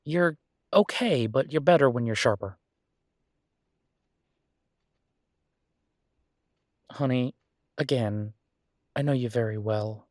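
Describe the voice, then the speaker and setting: A man's voice: mid-range voice, moderate pace, a little mellow, no echo, clean studio sound, natural voice. A man playing a caring, easygoing boyfriend in a roleplay audio drama, speaking casually and reassuringly, with small hesitations. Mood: tender, reassuring. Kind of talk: roleplay audio drama, one-sided intimate conversation.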